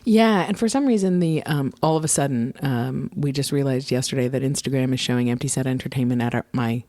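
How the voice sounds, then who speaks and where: A woman's voice: rumbling low, a little fast, dark tone, no room sound, clean studio sound. A woman co-hosting a casual podcast, talking informally as the organizer, with a chatty, playful manner. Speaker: co-host and tour organizer. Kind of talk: casual unscripted podcast chat.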